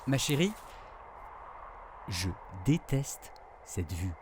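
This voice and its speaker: An actor playing a teasing, affectionate forest ranger in a naturalistic audio drama, with casual, slangy delivery. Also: male voice, medium pitch, sing-song, extremely slow, noisy background.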